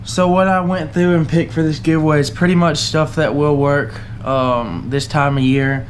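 A man's voice: a little high, a little hiss or hum. A young man with a Southern US accent, hosting his fishing channel and casually walking through tackle for a giveaway, with plenty of uh and um. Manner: relaxed, halting, lots of uh and um. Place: indoors at a table, camera overhead.

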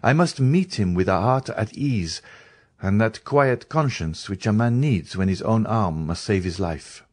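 Audiobook role: earnest young journalist , read by a man, grave and compassionate, formal, deliberate phrasing, loyal friend. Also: lowish voice, expressive, darkish tone, dry recording. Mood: resolute, grave. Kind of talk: dramatised audiobook reading.

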